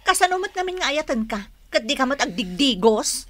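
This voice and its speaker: Feisty young Ilocano female vendor, radio drama: very high, swooping delivery, exclamatory scolding. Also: sing-song, a little fast, fairly bright tone, slight background noise. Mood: haughty, teasing.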